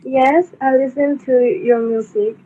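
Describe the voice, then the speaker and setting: Tinny, high-voiced Thai woman. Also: steady tone, a little slow. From Thailand. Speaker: fan joining a livestream. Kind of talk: casual chat in simple English. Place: remote live video call. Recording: tinny phone-like call, faint noise.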